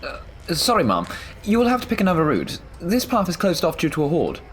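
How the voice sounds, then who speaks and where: Man acting lively, polite soldier on guard. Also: on the high side, fairly quick, slight background noise. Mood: dutiful. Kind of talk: period audio drama acting.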